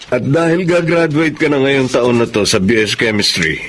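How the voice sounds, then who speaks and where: A man's voice: mid-range voice, moderate pace, over loud music, close to an everyday voice. A man playing a generous, responsible eldest brother in a Filipino radio drama. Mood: generous, encouraging.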